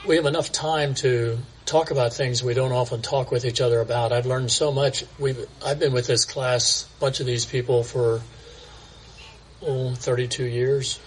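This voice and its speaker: Plain-spoken man with soft outdoor ambience. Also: mid-range voice, fairly even intonation, moderate pace, a little hiss or hum, rough recording. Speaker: church member sharing reflection. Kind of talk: casual personal testimony.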